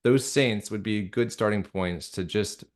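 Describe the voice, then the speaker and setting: A low-pitched American man speaks as a guest in a casual interview. He thinks aloud, circling back and repeating phrases as he explains things. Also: unhurried. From United States. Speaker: interview guest, radio drama creator.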